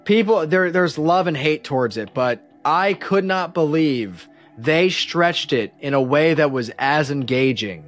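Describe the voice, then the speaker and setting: A man with a slightly high voice talks as a guest on a casual podcast. He is emphatic and animated, swearing offhandedly like a fan among friends. Faint music sits behind his voice. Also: a little slow, hardly any pauses.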